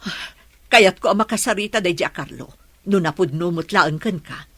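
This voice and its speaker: A woman's voice: on the low side, normal speed, fairly bright tone, dry recording. An actress playing a stern, suspicious middle-aged mother in a radio drama, with an Ilocano accent. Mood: stern, shocked. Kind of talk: radio comedy-drama acting.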